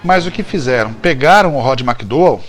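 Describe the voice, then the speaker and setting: A man's voice: mid-range voice, lively intonation, normal speed, faint music behind. A Brazilian man hosting a show, talking casually and jokily about trivia and often correcting himself. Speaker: show host. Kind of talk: casual, chatty TV-trivia commentary.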